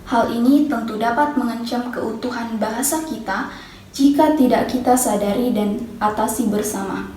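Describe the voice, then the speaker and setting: A young woman, a university student delivering a formal prepared speech in a measured, declamatory manner. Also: on the high side, warm tone. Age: young adult. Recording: echoey room, distant mic, faint noise.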